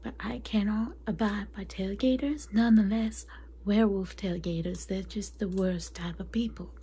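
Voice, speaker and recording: A woman playing a chatty, catty female vampire consultant in a soft, breathy, confiding ASMR roleplay whisper. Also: medium pitch, fairly even intonation, normal speed. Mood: flustered, indignant. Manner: confiding, gossipy, apologetic asides.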